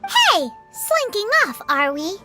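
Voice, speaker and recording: A woman's voice: extremely high pitch, wildly swooping pitch, slow pace, exaggerated voice. A woman voicing a tiny, chatty fairy companion in a video game, chirpy, cheeky and childlike. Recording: background music under the voice.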